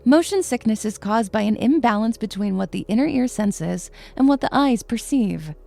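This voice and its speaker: A woman's voice: fairly high pitch, animated, moderate pace, vocal fry, warm tone, soft background music. A woman playing a stern, weary science professor, sarcastic and pedantic, in a comedy audio drama. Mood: pedantic, annoyed.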